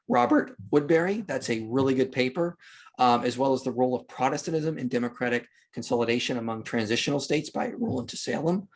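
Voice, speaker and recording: Guy podcast guest, kinda deep-ish husky voice, argues his points hard, explaining history unscripted. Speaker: interview guest discussing history.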